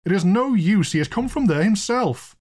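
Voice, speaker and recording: A man's voice: high-pitched, sing-song, brisk, pitched above the performer's own voice. A man voicing a frightened, bewildered and sorrowful landlord in a storybook reading, exclaiming in distress. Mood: wailing, frightened.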